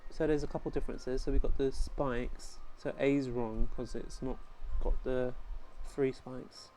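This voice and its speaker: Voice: mid-range voice, steady tone, measured pace, warm tone, slight background noise. A gaming host giving casual gameplay commentary, thinking aloud through each step.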